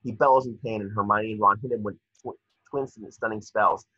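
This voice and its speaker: A man's voice: fairly low pitch, fairly even intonation, fairly quick, pushed and bright, slightly rough, dry recording. A young man narrating scripted stage directions from a screenplay over a video call. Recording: dull, muffled video call audio.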